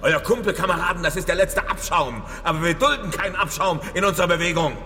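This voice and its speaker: A man's voice: high voice, quick pace, sharp tone. An actor playing a manipulative, scornful male ringleader of political comrades in a radio crime drama. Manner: commanding, scornful, rhetorical. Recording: echoey hall, long reverb, background noise.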